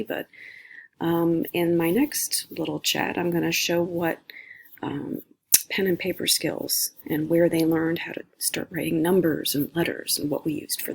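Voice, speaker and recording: A woman's voice: on the low side, vocal fry, a little mellow, breathy edge. A middle-aged woman giving a casual, unscripted review in a chatty, rambling way, as if thinking aloud. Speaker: homeschooling mother reviewing curriculum. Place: indoors, recording a screencast at a computer.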